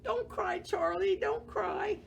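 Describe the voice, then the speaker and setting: A woman's voice: very high voice, takes it slowly, gravelly, far from the mic, a little hiss or hum, pitched above the performer's own voice, exaggerated voice. A woman voicing a tender, earnest young child who soothes a new puppy in a storybook, with emphatic, comforting delivery. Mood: pleading, soothing.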